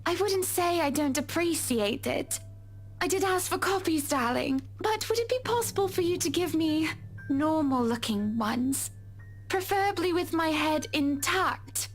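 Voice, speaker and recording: High-voiced, poised wealthy socialite. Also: female voice, animated, measured pace, faint music behind. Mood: politely displeased. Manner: sweetly polite, commanding, uses endearments. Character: poised, wealthy married socialite woman, gracious and condescending. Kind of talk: visual novel voice acting.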